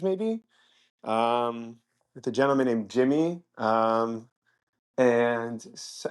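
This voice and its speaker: Eager rambling podcast host, a man. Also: medium pitch. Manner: eager and rambling, with many fillers. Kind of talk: casual interview hosting.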